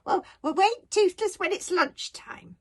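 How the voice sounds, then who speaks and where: A woman's voice: very high voice, expressive, measured pace, voice pushed up, heavily acted. A woman voicing a tiny male dragon in a children's storybook, drowsy, cheeky and teasing. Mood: sleepy, cheeky.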